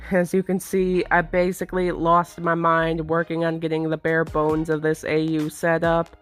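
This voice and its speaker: A woman video creator giving a semi-scripted, explanatory vlog narration. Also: sits low.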